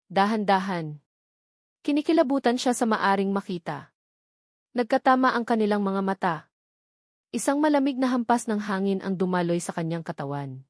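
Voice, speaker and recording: A Filipino woman narrates a romantic drama in a medium-pitched voice. The delivery is scripted storytelling with dramatic phrasing, recorded dry with very little room sound. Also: darkish tone, no room sound.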